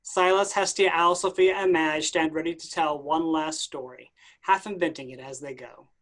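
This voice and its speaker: A man's voice: a little high, fairly even intonation, normal speed, fairly bright tone. A man reading stage directions as scripted narration at a play reading.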